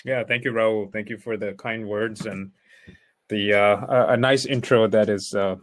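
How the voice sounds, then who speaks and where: A man from Pakistan telling his story as a panel guest, relaxed and reflective, often saying "you know". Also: lowish voice, a little slow, no room sound. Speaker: panelist, entrepreneur. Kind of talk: conversational storytelling.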